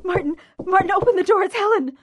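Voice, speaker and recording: An actress playing a worried, devoted sister in an old-time radio horror drama, earnest and pleading with a husky, breathy voice. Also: female voice, sits high, sing-song, moderate pace, slight background noise. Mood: alarmed.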